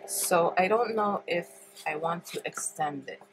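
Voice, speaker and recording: A woman's voice: on the low side, measured pace, heavy background noise. A woman with a congested, stuffy voice, chatting her way through a paper craft demonstration at her table, thinking aloud with frequent ums. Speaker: paper craft tutorial host. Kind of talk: relaxed step-by-step craft demonstration.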